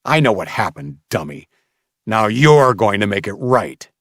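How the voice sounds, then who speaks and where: A man's voice: on the low side, big pitch swings, measured pace, no room sound, clean studio sound. A man voicing a brutal, short-tempered leader of a slaver gang in an audiobook, barking commands with menace. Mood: impatient anger. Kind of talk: audiobook character acting.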